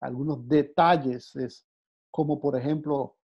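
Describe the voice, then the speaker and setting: A man's voice: a little high, fairly even intonation, husky. A man from the Dominican Republic teaching a Bible lesson off the cuff, with frequent hesitations and repeated words. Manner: halting, many "eh" fillers and repeated words. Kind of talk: unscripted Bible class talk. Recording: dull lo-fi sound.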